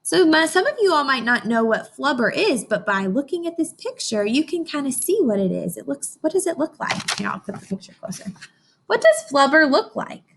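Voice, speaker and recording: A woman teacher reading a picture book aloud to young children, expressive, often pausing to question her listeners directly. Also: high-pitched, vocal fry. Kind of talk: informational read-aloud lesson for young children.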